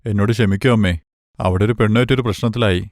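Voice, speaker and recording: A man's voice: fairly low pitch, steady tone, fast talker, darkish tone, no room sound, studio quality, natural voice. A man voicing a shamefaced wayward son pleading with his mother in a cartoon story. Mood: pleading, ashamed.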